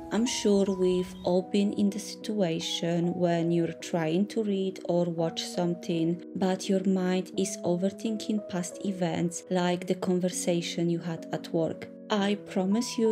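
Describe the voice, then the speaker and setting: A woman whose first language is Polish, calmly narrating a scripted vlog voice-over. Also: on the low side. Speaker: vlogger. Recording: voice-over with loud music bed.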